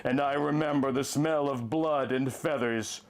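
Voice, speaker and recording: A man's voice: medium pitch, steady tone, measured pace, lightly acted. A man playing a traumatized, haunted survivor of a savage attack, telling his tale in an intense, theatrical pulp horror style. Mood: haunted, subdued. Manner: intense, theatrical, lurid storytelling. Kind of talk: dramatic first-person pulp horror story reading.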